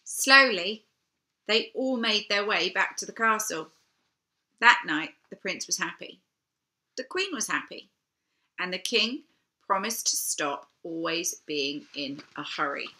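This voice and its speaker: Female children's storyteller, very lively intonation, unhurried pace, theatrical emphasis and pauses. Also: fairly low pitch. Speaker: storybook reader. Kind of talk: expressive children's picture book narration.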